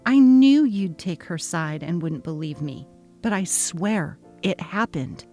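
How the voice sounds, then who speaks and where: A woman's voice: on the low side, expressive, takes it slowly, warm tone, faint music behind. A young woman voicing an exhausted new mother, speaking earnestly and pleading. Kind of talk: dramatic reading of a text-message story.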